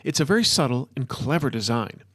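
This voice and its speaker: A man playing an excitable modern-day wizard in a storybook, ranting and lecturing in an emphatic, informal way. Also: mid-range voice, a little fast, breathy edge, no room sound, studio quality. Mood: enthusiastic lecturing. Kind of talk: storybook character dialogue.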